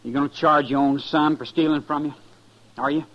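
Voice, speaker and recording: An American actor playing a bitter, defiant young cattle rustler, a rancher's son, in an old radio western. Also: male voice, a little high, fairly even intonation, a little fast, lightly acted. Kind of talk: 1950s radio western acting. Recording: dull vintage radio recording.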